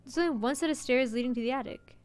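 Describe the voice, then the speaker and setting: A woman's voice: high-pitched, fast, a little mellow, clean tone. A woman streaming a horror visual novel, reading the narration aloud dramatically and sometimes stumbling over words. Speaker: game streamer. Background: game music.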